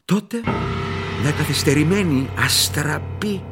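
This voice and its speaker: An actor narrating a horror radio play in a grave, theatrical, deliberate manner over music. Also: male voice, fairly high pitch, natural voice. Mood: grave, ominous. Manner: theatrical, deliberate, repeats phrases. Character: grave male horror narrator, later a raving doomed scholar. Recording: music behind the voice.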